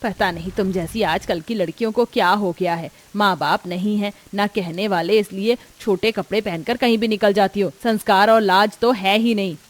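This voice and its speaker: A woman's voice: mid-range voice, steady tone, normal speed, few pauses, close to an everyday voice. A woman voicing a strict, middle-aged mother-in-law in a moral-story cartoon, indignant and domineering as she lectures. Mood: disapproving.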